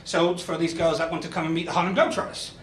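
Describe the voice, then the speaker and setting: Self-deprecating comic with West Country accent. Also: male voice, fairly high pitch, rapid-fire, a little hiss or hum. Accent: West Country English. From Ireland, raised in Spain. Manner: self-deprecating, deadpan punchlines. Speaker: stand-up comedian. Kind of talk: stand-up comedy set. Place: comedy club stage. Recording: echoey club with crowd laughter. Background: audience laughing.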